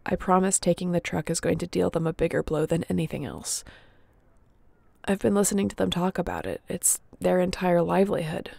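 A woman's voice: lowish voice, fairly even intonation, brisk, gravelly, warm tone, dry recording. A woman playing a resilient young apocalypse survivor in an audio roleplay, speaking intimately and earnestly with a wry edge. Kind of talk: dramatic audio roleplay addressed to the listener.

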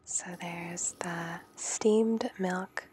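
A woman giving a whispered ASMR coffee tutorial in her home kitchen, gently explaining each step. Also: fairly low pitch, fairly even intonation, drawn-out words, slight background noise. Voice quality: soft unvoiced whisper. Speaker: home coffee maker demonstrating.

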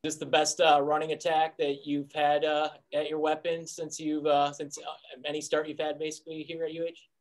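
A man, a sports reporter, asking questions at a press conference in quick, halting bursts with many "uh"s. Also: fairly high pitch, completely flat delivery, low-quality recording.

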